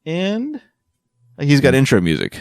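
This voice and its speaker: Male podcast host, slightly high voice, very lively pitch, jokey storyteller, close dry sound. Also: a little slow, clean tone, dry recording. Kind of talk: casual panel chat, telling fan lore.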